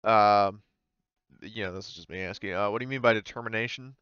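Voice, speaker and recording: A man with a fairly low voice acts as game master of a tabletop roleplaying game. He explains things in a casual, tentative way. The sound is dry and slightly muffled, like a voice chat. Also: fairly quick, fairly bright tone, smooth, dry recording.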